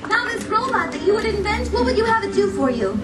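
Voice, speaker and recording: A woman's voice: very high voice, very animated, quick pace, loud music behind the voice. A woman playing a sweet, gracious young storybook princess, telling a story to children live in a theatrical, encouraging way and asking them questions. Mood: playful, encouraging. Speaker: theme park storytelling performer. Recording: distant, echoey, noisy amateur recording among crowd. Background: audience crowd noise.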